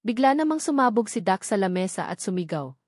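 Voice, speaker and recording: A woman's voice: mid-range voice, sing-song, a little fast, a little mellow, no room sound, clean studio sound. A woman reading a novel aloud as a scripted story narration. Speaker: audiobook narrator.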